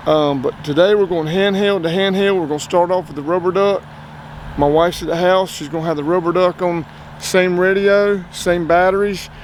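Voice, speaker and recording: A man with a North Carolina accent hosting a casual outdoor radio test, talking in a relaxed, chatty way and explaining as he goes. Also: high voice, moderate pace, slight background noise. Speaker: outdoors channel host. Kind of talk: casual gear-test vlog. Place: outdoors by a car.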